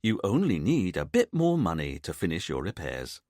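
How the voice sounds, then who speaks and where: A man's voice: deep voice, huge pitch swings, moderate pace, darkish tone, no room sound, clean studio sound, full character voice. A man voicing an uptight, fussy police detective who speaks in a clipped, exasperated way. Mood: stern.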